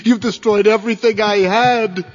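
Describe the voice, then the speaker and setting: An actor playing a tormented, haunted middle-aged man in a horror radio drama, anguished and halting. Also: sits high, fairly even intonation, lightly acted. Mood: defiant, anguished. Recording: muffled, band-limited audio with music.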